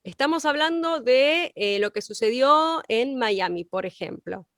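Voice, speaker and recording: A woman's voice: high-pitched, lively intonation, a little slow, fairly bright tone, no room sound, clean studio sound. A woman co-teaching a class, explaining didactically with examples and checking that she is understood.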